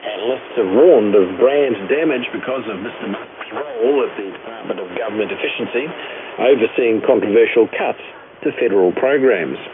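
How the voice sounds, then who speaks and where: A man's voice: lowish voice, normal speed, crowd noise. A man with a New Zealand accent reading a scripted radio news bulletin as presenter. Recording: noisy narrowband shortwave radio broadcast.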